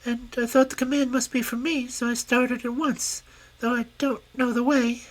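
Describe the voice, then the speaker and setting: A woman voicing a spirited young princess turned into a grasshopper, pert and lively. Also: a little high, normal speed, pitched above the performer's own voice, close to an everyday voice, poor audio. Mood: earnest.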